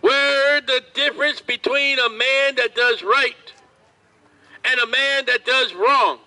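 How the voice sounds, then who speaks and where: Man, street preacher, very high and piercing, slow drawn-out open-air sermon. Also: bright, forceful tone. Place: outdoors at a street market.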